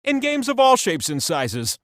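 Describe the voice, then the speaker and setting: A high-pitched, excitable game-show host for a quiz video game. The delivery is theatrical and quirky, leaping up and down in pitch with mock drama. The sound is dry and close. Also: male voice, measured pace, no echo, heavily acted. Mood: showy, upbeat.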